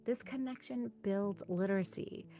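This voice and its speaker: A woman's voice: medium pitch, moderate pace, warm tone. A woman hosting as a tutor, giving instructional advice to parents in an encouraging, emphatic way. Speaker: reading tutor and host. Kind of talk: instructional talk to camera for parents.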